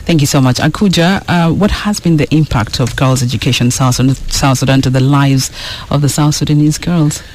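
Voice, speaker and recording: A man's voice: a little high, a little fast, few pauses, slight background noise. A man hosting a radio interview, asking questions and giving brief affirmations. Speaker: host.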